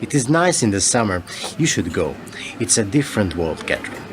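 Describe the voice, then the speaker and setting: Middle-aged male agent played by a man, courteous, formal, fairly low voice, radio drama. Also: very animated. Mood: warm, polite. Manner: formal, courteous, measured phrasing. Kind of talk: radio play acting. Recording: very noisy, traffic-like ambience.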